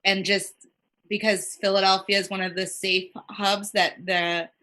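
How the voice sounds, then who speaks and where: A woman's voice: medium pitch, unhurried. A woman working as a child life specialist, chatting casually and rambling with lots of "like" fillers. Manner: rambling, heavy use of "like", quoting others in lively voices. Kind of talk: casual conversation on a podcast.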